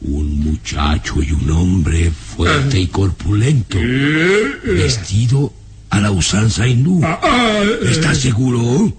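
An actor playing a sinister, irritable baron, imperious and contemptuous, in an old-time radio serial with music behind. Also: male voice, lowish voice, big pitch swings, takes it slowly, noisy background. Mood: suspicious, scheming.

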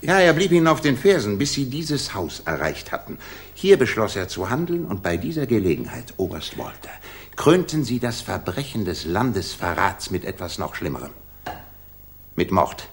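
Casting: incisive gentleman sleuth, low voice, sweeping intonation, ironic and theatrical, vintage radio play. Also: very animated, moderate pace. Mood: stern accusing. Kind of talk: 1960s German radio crime drama acting.

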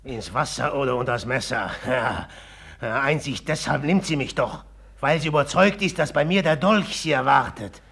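A gravelly, brooding jealous merchant. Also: male voice, medium pitch, fairly bright tone. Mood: agitated. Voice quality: gravelly, husky. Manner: slow and heavy, flaring into agitation. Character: jealous brooding young Russian merchant, passionate. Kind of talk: 1950s radio drama acting.

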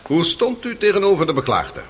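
An actor playing a formal, insistent public prosecutor in a radio courtroom drama, precise and pointed in manner. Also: male voice, fairly high pitch, huge pitch swings, fairly quick, a little hiss or hum. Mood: questioning.

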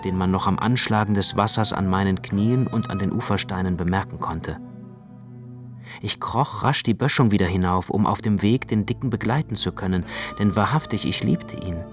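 A man with a fairly low voice reads literary narration for a radio play. His storytelling is measured and precise. Music plays clearly behind him.

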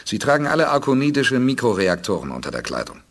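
An actor playing a resolute, calm spaceship commander who gives precise orders in a 1980s radio play. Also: male voice, medium pitch, fast. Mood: calm authority.

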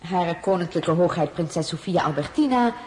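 A woman acts as a gracious, formal queen in a radio play. Her voice is on the low side, stately yet lively in intonation, over faint noise. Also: moderate pace, close to an everyday voice. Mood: stately, courteous. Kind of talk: radio drama acting.

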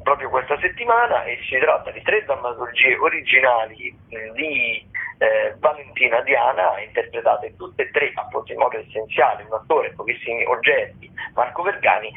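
A man hosting radio arts listings in a semi-improvised, halting way, often correcting himself. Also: medium pitch, creaky, husky, narrow, tinny audio. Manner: hesitant, halting delivery with self-corrections. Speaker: radio host presenting theatre listings. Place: radio studio.

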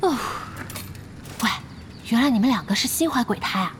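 An actress playing a feisty, hot-tempered young woman gamer in an audio drama, outspoken and quick to exclaim. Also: fairly high pitch, animated, slight background noise, close to an everyday voice. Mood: curious, scolding.

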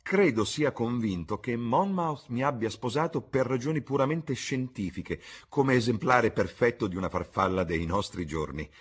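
A man's voice: medium pitch, lively intonation, moderate pace, hardly any pauses, slightly rough, pitched above the performer's own voice. A man voicing a flirtatious, witty aristocratic duchess in arch, teasing society talk for an audiobook. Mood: playful complaint.

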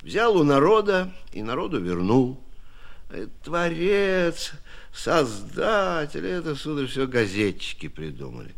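Slow, animated old scholar. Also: male voice, on the high side, gravelly, full character voice. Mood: modest, sincere. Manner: folksy, old-fashioned, unhurried speech with chuckles. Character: elderly male scholar, modest, hardworking, good-humoured, stubborn lexicographer. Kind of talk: Soviet radio play acting.

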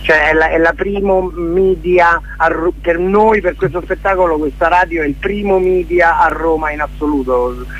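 Halting higher-voiced man, dull radio sound. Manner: halting, searching for words. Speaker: theatre maker, interview guest. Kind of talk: casual radio interview.

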